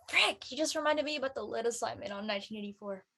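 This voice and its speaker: A woman playing a chatty, curious high school girl in a casual script reading. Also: fast, gravelly, pushed and bright, slightly rough, heavily acted. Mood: casual, inquisitive.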